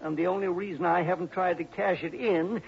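A man's voice: high voice, steady tone, a little fast, vocal fry. An actor playing a cantankerous, miserly and suspicious old rich man in a 1960s radio drama, blustering and irritable. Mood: indignant, grumbling. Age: older. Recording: dull, noisy old radio recording.

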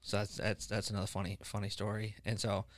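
A man's voice: lowish voice, little pitch movement, fast talker, no room sound. Dude in his 20s hosting a podcast, kinda rambly, dry close mic. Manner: rambling, restarts often, laughs easily. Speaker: podcast host. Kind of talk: casual chat.

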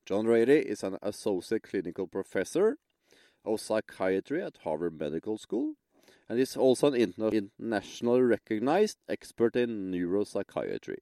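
A man's voice: lowish voice, very animated, normal speed, dark tone, dry recording, studio quality. A man from Norway with a Norwegian accent, a non-native English speaker, hosting a podcast and hesitantly searching for words. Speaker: podcast host. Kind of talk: podcast introduction and interview.

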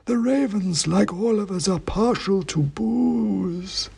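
A man voicing an ancient king's severed head that speaks in slow, solemn rhymes with a dusty, faint voice. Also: sits high, creaky, mellow, breathy edge, voice pushed up, exaggerated voice. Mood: ominous. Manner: slow, drawn-out rhyming pronouncements.

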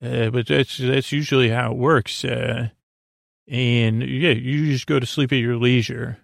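A man hosting a bedtime podcast in a rambling, improvised monologue, hesitant and halting with false starts, musing whimsically as he goes. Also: mid-range voice, fairly even intonation, vocal fry, no echo, studio quality. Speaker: podcast host.

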